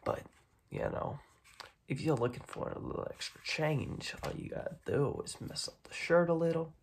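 A young man voicing a gruff, dismissive, streetwise mob boss in a soft-spoken ASMR roleplay, breathy and clipped. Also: fairly high pitch, wildly swooping pitch, slight background noise, heavily acted. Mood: sizing up. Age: young adult. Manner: slow, clipped tough-guy talk with repeated phrases. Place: small dim room at home, indoors.

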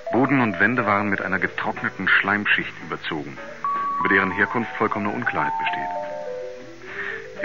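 An actor playing a sober investigator who narrates and questions in a 1970s German radio drama. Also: male voice, fairly low pitch, natural voice. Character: sober male investigator recounting a mysterious case. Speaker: narrator and interviewer. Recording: dull 1970s radio recording.

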